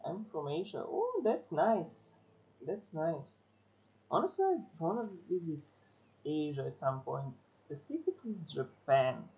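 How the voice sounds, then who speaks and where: Slow, hesitant man. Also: fairly high pitch, big pitch swings, a little mellow, muffled recording. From Serbia. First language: Serbian. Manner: slow, hesitant, thinking aloud. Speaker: streamer. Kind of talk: casual stream chat.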